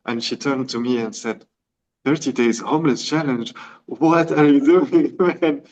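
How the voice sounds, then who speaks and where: A man's voice: medium pitch, unhurried, highs cut off. A man from Tunisia, a podcast guest, telling a personal story and acting out the dialogue. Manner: animated, acts out quoted dialogue. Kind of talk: storytelling in conversation.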